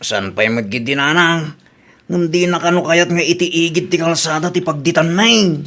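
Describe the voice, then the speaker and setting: Man acting a penny-pinching young male suitor, high sharp voice, Filipino accent, plain-spoken, radio comedy. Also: normal speed. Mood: downcast. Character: poor, cheap, laid-back young suitor, somewhat sheepish.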